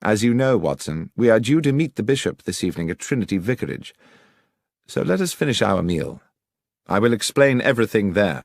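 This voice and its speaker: Confident male detective , acted by a male reader, audiobook mystery. Declamatory, precise, very lively pitch, dry close recording. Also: fairly quick, vocal fry, no room sound, full character voice. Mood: dramatic. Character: confident, theatrical male detective explaining his deductions.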